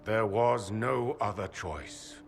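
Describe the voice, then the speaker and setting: A man playing a solemn, fanatical leader of a fallen knightly order in a fantasy game, measured and prophetic. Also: fairly low pitch, creaky, soft background music. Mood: grim conviction. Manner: measured, prophetic, resigned certainty. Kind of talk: dramatic fantasy game villain acting.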